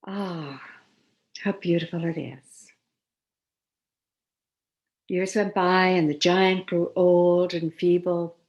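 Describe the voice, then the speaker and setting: A woman storyteller reading a children's tale expressively, with deliberate dramatic pauses. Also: on the low side.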